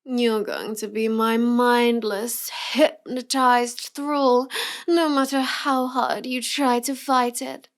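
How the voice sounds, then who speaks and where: A woman's voice: on the high side, flat delivery. A woman playing a cute, teasing young villainess in an intimate roleplay audio, drawing out her words as she mocks the listener. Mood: teasing, mocking. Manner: slow, teasing delivery with drawn-out words and giggles. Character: cute, teasing, mocking young villainess with mind-control powers.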